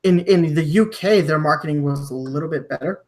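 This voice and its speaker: A man from Germany hosting a podcast, discussing news conversationally with halting, repeated word starts. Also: on the high side, a little mellow. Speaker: podcast host.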